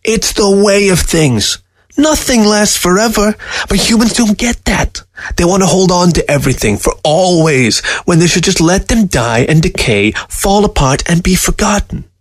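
A man's voice: medium pitch, big pitch swings, normal speed, slightly rough. A man reading the lines of a scornful, aloof male fox in a spoken story. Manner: sharp, scornful, lecturing.

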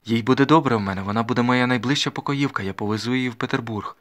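Man putting on a spoiled young noble girl, pitched up. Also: very fast, fairly bright tone, close to an everyday voice. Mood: puzzled, imperious. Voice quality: ringing. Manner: cooing as a child talks to dolls. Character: spoiled young noble girl, imperious, childishly sweet, uncomprehending.

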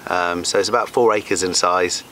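A man in his forties with a lowish voice talks to camera in a loose, matey way. He is recorded outdoors, with faint natural noise around him. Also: fairly even intonation, normal speed, bright, forceful tone. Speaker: fishing vlogger. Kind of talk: casual chat to camera. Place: outdoors on a wooded lake bank.

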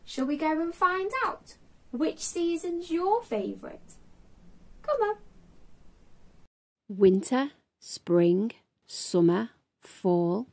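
A woman's voice: high voice, gravelly, darkish tone. A woman in her thirties hosting a children's storytime, speaking expressively with a sing-song delivery straight to young listeners. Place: small furnished room at home, indoors.

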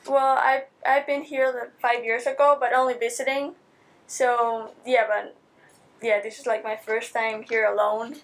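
A woman's voice: on the high side, level tone, unhurried, low-quality recording. A teenage girl from Spain with a Spanish accent, chatting casually in an interview and filling her answers with "like" and restarts. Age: teens. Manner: chatty, many likes and restarts. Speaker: exchange student guest. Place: school studio set, indoors.

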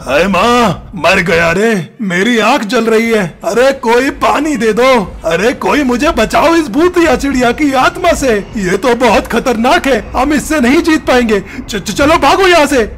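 A man's voice: very high voice, expressive, a little slow, few pauses, a bit bright, a little hiss or hum, heavily acted. A man voicing an evil, cowardly crow sorcerer in a cartoon with theatrical delivery. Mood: panicked wailing.

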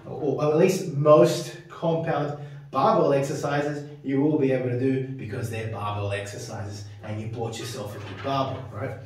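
A man in his thirties talks casually about his home gym setup, chatty and rambling, often ending with "right?". The voice is mid-pitched and heard from a distance, with strong room echo and a clear layer of background noise. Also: a little mellow. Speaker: fitness video host. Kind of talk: casual equipment walkthrough. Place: small home gym with power rack, indoors. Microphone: camera mic from a distance. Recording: very echoey room, camera far off.

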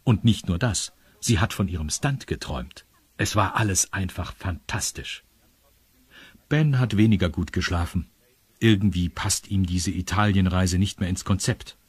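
A man's voice: low voice, moderate pace, husky, no echo. A man with a standard German accent narrating a scripted children's audio story.

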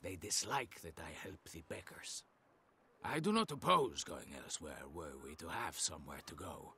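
A kindly older keeper of a poorhouse in a fantasy game. His voice is low and creaky, with lively rises and falls, and he talks gently and courteously over faint music. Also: normal speed, heavily acted. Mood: calm, courteous. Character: kindly, patient older man running a poorhouse. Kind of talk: video game voice acting.

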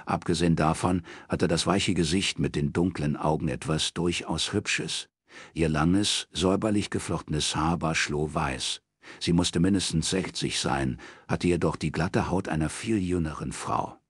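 A man's voice: deep voice, a little slow, breathy edge, no room sound, studio quality. A man narrating a crime novel as an audiobook, reading from a script.

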